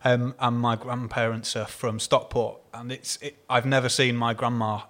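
A man from England conducts an interview at a middle pitch. He hesitates and restarts as he puts his questions together. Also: level tone, moderate pace, a bit bright. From Hertfordshire, England.

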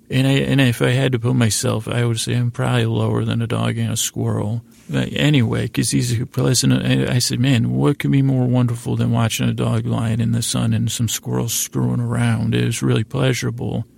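A man hosting a bedtime podcast in a rambling, halting monologue, whimsical and self-deprecating, often repeating himself and trailing off. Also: medium pitch, darkish tone. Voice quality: creaky, gravelly. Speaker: podcast host.

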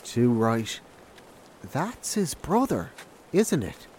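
A man's voice: mid-range voice, big pitch swings, extremely slow, darkish tone, slight background noise. A man acting an easygoing scientist in an audio drama, talking casually and without hurry. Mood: tense, curious. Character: guarded, easygoing male scientist with sharp ears.

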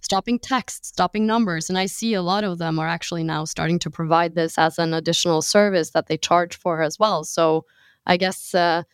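A woman's voice: fairly low pitch, steady tone, normal speed, clean tone, no room sound, studio quality. A woman hosting a conversational panel discussion, phrasing her thoughts in a hesitant, thoughtful way. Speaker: podcast host.

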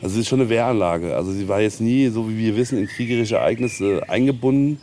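A man's voice: lowish voice, fast, dark tone. A man guiding an informal castle tour outdoors, explaining history in a hesitant way with many ums. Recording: noisy outdoor location recording.

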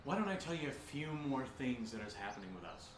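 A man's voice: very animated, fast, close to an everyday voice. An actor playing an agitated, sarcastic young man in an audio drama, ranting and swearing as if to a livestream. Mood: manic, sarcastic. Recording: echoey room, faint hiss, high-pitched bright voice.

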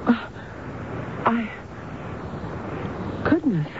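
A woman's voice: on the high side, sing-song, extremely slow, exaggerated voice. An actress playing a bewildered, guarded married woman patient in 1970s radio drama style, hesitant, puzzled and questioning. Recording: dull noisy old radio broadcast recording.

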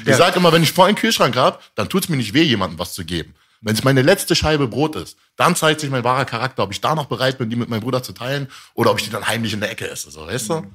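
A man's voice: medium pitch, expressive, fast, few pauses, fairly bright tone, husky. A young man chatting casually and candidly, with a streetwise way of talking. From half Italian, half Iranian, raised in Germany. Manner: streetwise, candid, often says "weißt du". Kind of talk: casual chat.

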